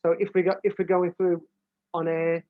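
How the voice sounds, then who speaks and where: A man's voice: sits high, level tone, normal speed, no room sound. A British man with a big voice, a football coach explaining how he develops his staff in plain, casual talk, often restarting and repeating words. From United Kingdom. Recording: dry, tinny phone-like line.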